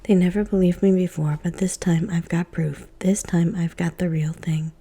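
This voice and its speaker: An excitable boy who keeps insisting he is telling the truth, whispered by a woman reader. The whisper is breathy and dark, and the delivery stays hushed. The insistence shows in the wording. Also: lowish voice, normal speed. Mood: insistent. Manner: insistent, frustrated. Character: excitable boy no one believes.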